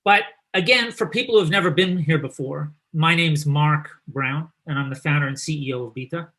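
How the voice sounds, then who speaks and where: Man, Canadian accent, live talk host, chatty and upbeat, slightly high voice. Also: brisk, pushed and bright. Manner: chatty and upbeat, teasing his guest. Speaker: host and company founder. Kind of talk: live online talk and presentation.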